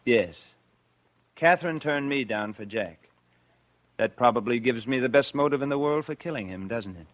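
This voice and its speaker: A man's voice: medium pitch, animated, normal speed, no room sound, muffled recording, close to an everyday voice. An actor playing a guilty, scheming man who confides his crime in 1940s radio suspense style. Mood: feigned innocence. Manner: confessional first-person narration. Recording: vintage 1940s radio with loud music.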